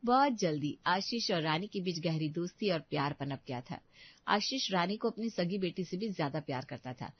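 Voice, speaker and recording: A woman's voice: on the low side, expressive, moderate pace, dry recording, dull audio. A woman storyteller giving a scripted, dramatic narration of a family tale.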